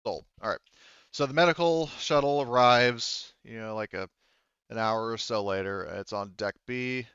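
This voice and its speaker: A man with a smooth, lowish voice acts as game master, narrating casually and feeling his way through with pauses. The recording is close and dry but a little dull. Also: a bit bright, dry recording.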